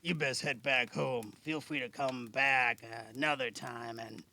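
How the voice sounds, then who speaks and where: Casting: male actor for a gruff older healer in an audio drama, very gravelly and forceful, guarded but kindly. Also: medium pitch, fairly even intonation, unhurried, heavily acted. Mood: guarded, relaxed. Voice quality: gravelly, creaky. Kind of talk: amateur audio drama acting. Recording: faint background noise.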